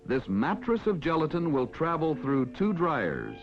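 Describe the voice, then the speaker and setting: American film narrator, lively pitch, vintage sound. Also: male voice, highs cut off. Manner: formal, measured announcer delivery. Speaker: narrator of an industrial educational film. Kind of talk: scripted narration, mid-century educational film. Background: background music.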